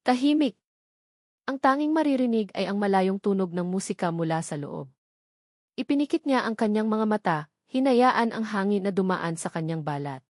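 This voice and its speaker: A woman's voice: mid-range voice, a little mellow, no room sound, studio quality. A woman reading a romance story as a dramatic scripted audiobook narration.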